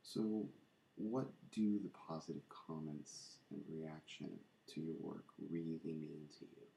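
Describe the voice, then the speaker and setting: A man softly asking interview questions in a calm, gentle way. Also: rumbling low, a little slow, vocal fry, slight background noise. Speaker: interviewer, ASMR channel host. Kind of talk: soft-spoken interview questions.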